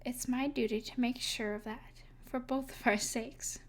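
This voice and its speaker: A woman playing an evil sorceress, possessive and tenderly devoted, speaking softly and deliberately in an intimate ASMR roleplay. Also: fairly high pitch, fairly even intonation, close to an everyday voice. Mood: reassuring. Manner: soft, deliberate, soothing yet possessive.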